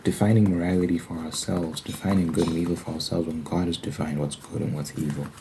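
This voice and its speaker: A man speaking in a casual, halting ASMR style, explaining religious ideas informally and posing questions as he goes. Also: low-pitched, flat delivery. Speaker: ASMR video creator. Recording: faint background noise.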